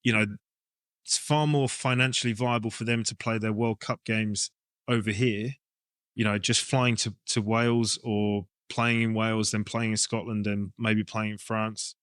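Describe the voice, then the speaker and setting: English bloke, coach type, casual interview chat, working ideas out loud, dry close sound. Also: male voice, lowish voice, level tone, moderate pace, clear, resonant tone, no echo. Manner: thinking aloud, explaining ideas informally. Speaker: rugby coach and club director, interview guest.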